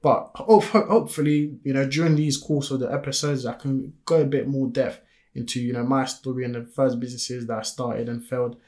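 A man's voice: medium pitch, level tone, brisk, a little mellow. A man raised in France whose first language is French, co-hosting a podcast in eager, chatty talk full of fillers. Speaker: podcast co-host. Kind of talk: casual podcast chat.

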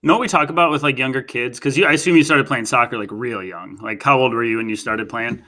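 A man's voice: mid-range voice, fast talker, sharp tone. A man co-hosting an interview, chatting in a talkative, opinionated way and explaining his points at length. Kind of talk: casual interview chat.

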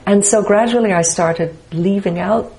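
An older woman from Dublin with a softened Irish accent, reading her memoir and then talking it over in a measured, thoughtful way. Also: medium pitch, gravelly, rough recording. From Ireland, Dublin. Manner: measured, thoughtful, lets a sentence trail and restart. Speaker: author and interview guest.

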